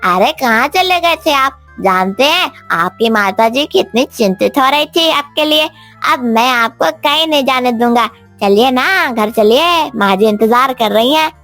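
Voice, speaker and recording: A woman's voice: sits high, animated, a little slow, fairly bright tone, soft background music. A woman voicing a loyal, chatty young male monkey in a cartoon, eager and insistent. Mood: eager, nagging.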